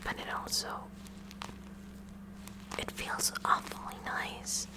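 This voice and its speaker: A woman voicing a kooky, curious student science club president in a whispered ASMR roleplay, musing in a halting, awkward way. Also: natural voice. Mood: flustered fascination.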